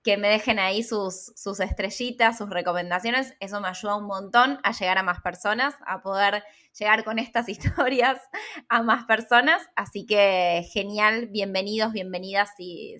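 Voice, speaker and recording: A woman with an Argentine accent hosts a casual interview. Her voice is clearly bright and sits at an average pitch. She talks informally, pausing and repeating words as she finds her phrasing. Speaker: podcast host, interviewer.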